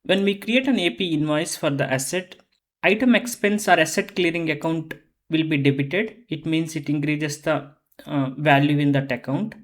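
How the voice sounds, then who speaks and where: An instructor giving a methodical, step-by-step explanatory lesson on accounting software, often repeating phrases while explaining. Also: mid-range voice, moderate pace.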